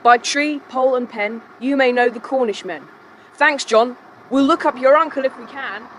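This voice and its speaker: An actor playing a confident, polite schoolboy who leads child adventurers in an audiobook drama, brisk and decisive. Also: high voice, a bit bright, a little hiss or hum. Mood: cheerful, assured. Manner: brisk, decisive, well-mannered.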